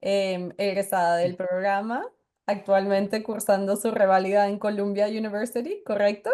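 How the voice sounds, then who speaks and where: A woman's voice: medium pitch, fairly even intonation, normal speed, warm tone. A woman hosting a podcast interview, welcoming the guest and asking questions with a few small stumbles. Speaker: podcast host.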